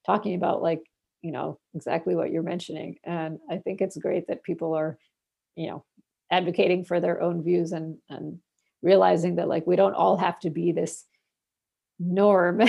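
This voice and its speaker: A woman's voice: on the low side, gravelly, mellow, slightly rough. A young woman from Bangladesh, a researcher, answering interview questions in a rambling way full of fillers and restarts.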